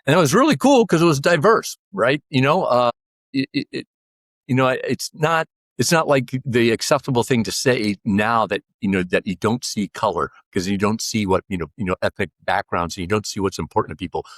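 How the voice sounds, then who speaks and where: A man's voice: mid-range voice, expressive, brisk, a bit bright, dry recording. A man in his sixties, a podcast guest, talking casually and rambling with many fillers and restarts. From United States. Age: 60s. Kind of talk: casual interview conversation.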